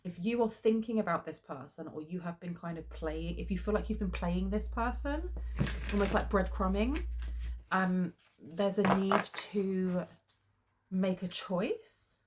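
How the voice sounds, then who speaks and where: A woman's voice: medium pitch, slight background noise. Sniffly woman with a head cold. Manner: chatty, many filler ums. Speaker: tarot reader. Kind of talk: solo tarot card reading.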